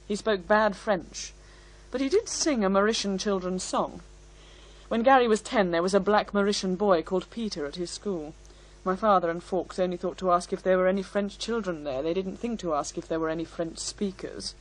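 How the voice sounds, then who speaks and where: A woman's voice: medium pitch, normal speed, natural voice, poor audio. A woman in a radio play acting a composed, bitter daughter who speaks in a firm, articulate and scornful way. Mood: firm, explaining.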